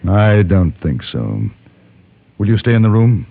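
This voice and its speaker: Low-voiced, calm frontier gentleman. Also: vocal fry, full character voice. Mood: calm, probing. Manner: measured, questioning, understated. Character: calm, probing frontier gentleman, Western narrator-hero. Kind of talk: 1950s Western radio drama acting and narration. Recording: dull old radio recording with music.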